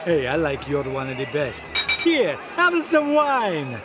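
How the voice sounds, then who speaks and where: An actor playing a merry, drunken Italian football reveller in a comedic audio drama. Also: male voice, on the high side, wildly swooping pitch, takes it slowly, background noise, heavily acted. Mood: drunkenly merry.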